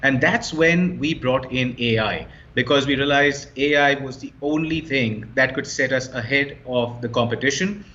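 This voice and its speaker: A man's voice: mid-range voice, normal speed, few pauses, sharp tone. A man explaining his startup as an interview guest, fluent and confident, stressing key points. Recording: slightly dull lo-fi sound.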